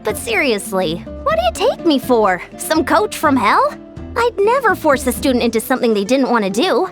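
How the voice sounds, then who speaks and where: A woman's voice: high voice, wildly swooping pitch, normal speed. A woman voicing a friendly fitness coach who teases playfully and explains sensibly, in video game dialogue. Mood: teasing. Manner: playful teasing, then earnest explaining. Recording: game soundtrack music clearly behind.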